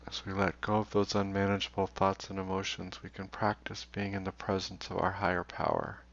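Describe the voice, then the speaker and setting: A man leading a guided meditation in a slow, deliberate way, leaving long pauses. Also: deep voice, level tone, vocal fry. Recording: dull, roomy recording.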